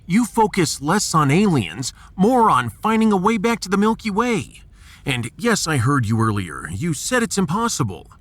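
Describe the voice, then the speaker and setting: Man acting a resolute, expressive starship captain. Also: fairly high pitch, no echo, heavily acted. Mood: determined. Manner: authoritative, measured, earnest. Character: resolute male starship captain, steady and commanding. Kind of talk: dramatised sci-fi audio drama.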